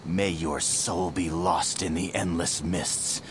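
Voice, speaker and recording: A man's voice: deep voice, steady tone, slow pace, background noise. An actor playing a defiant heroic rebel in a fantasy game, bold and accusing in his declamatory delivery. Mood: righteous defiance. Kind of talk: video game cutscene acting.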